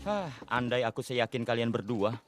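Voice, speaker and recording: Seorang pria menyulihsuarakan pemuda penemu yang tulus dan bersemangat dalam kartun. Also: male voice, mid-range voice, lively intonation, moderate pace, over music, lightly acted. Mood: earnest. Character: earnest young male inventor, eager gang leader. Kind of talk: cartoon dub.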